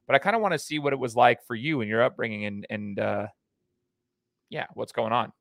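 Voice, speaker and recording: Guy hosting a sports podcast, mid pitch, dry close mic, rambles his questions. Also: fairly quick, gravelly, fairly bright tone, dry recording. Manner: chatty, rambling questions with restarts. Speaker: podcast host, basketball coach. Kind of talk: casual interview questions.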